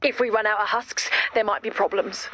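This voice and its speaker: A woman's voice: mid-range voice, steady tone, fairly quick, a bit bright, slight background noise, lightly acted. An actress playing a cheerful, genteel woman with a zeal for slashing in a video game, polite and sweetly unhinged. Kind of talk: scripted video game voice acting.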